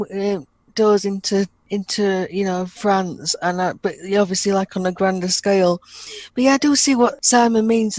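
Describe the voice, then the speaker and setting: A woman co-hosting a podcast in casual chat, relaxed, with many fillers. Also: medium pitch, no echo, low-quality recording. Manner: relaxed, fillers like "you know". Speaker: podcast co-host.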